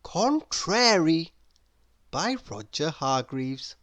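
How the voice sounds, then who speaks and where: Very animated woman reading a storybook. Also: low voice. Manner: deliberate, expressive storytelling. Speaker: storybook narrator. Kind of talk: scripted children's read-aloud narration.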